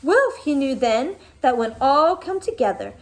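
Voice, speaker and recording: A woman schoolteacher reading aloud in an expressive, dramatic storytelling style with casual asides. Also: high-pitched, measured pace. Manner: animated, dramatic storytelling delivery.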